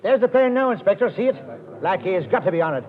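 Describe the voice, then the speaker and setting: A keen, fast-talking police captain. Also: male voice, high voice, expressive. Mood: eager. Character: cooperative male police captain, keen and talkative. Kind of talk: 1940s radio acting. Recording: muffled old radio broadcast with faint hiss.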